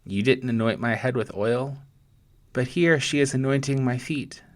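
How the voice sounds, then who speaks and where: A man, a pastor gently and deliberately guiding listeners through a scripture meditation in short, paused phrases. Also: medium pitch. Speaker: pastor leading a guided meditation. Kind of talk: slow guided meditation with scripture storytelling.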